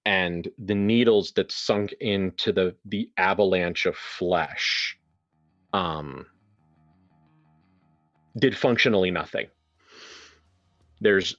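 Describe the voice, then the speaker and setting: A man running a horror tabletop game as game master, narrating deliberately and haltingly with casual asides. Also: sits low, fairly bright tone, muffled recording.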